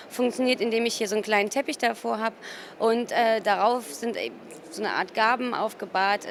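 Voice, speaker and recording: A woman from Cologne speaking informally as a gallerist in an interview, often pausing mid-sentence to search for her words. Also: mid-range voice. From Cologne, Germany. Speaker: gallerist presenting artworks. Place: busy exhibition hall at an art fair. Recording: noisy art fair ambience. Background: ambient fair noise.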